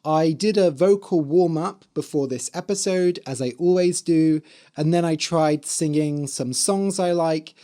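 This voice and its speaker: A man hosting an English-learning podcast, speaking in a hoarse, slightly dry voice and explaining words clearly for learners. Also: fairly high pitch, unhurried, mellow. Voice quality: spells words out letter by letter. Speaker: podcast host and English teacher. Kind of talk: slow, clear teaching talk for learners.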